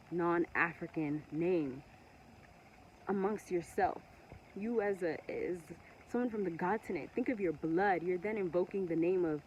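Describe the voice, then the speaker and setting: A woman from Togo whose first language is Ewe, talking earnestly and passionately to camera with many pauses and restarts. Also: fairly low pitch, darkish tone, dull audio, a little hiss or hum. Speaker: vlogger. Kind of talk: casual monologue to camera.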